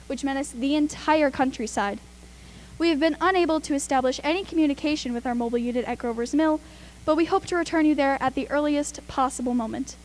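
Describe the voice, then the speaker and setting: A woman's voice: sits high, a little hiss or hum, lightly acted. A woman playing an earnest radio news announcer reading emergency bulletins formally in a radio play.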